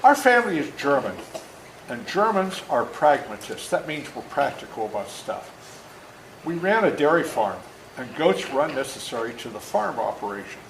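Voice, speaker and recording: An older man reads his own story aloud to children in an animated, folksy way, stopping for asides to explain things. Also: medium pitch, normal speed. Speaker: author reading his own book to schoolchildren. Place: indoor room at a school. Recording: echoey room with faint background noise.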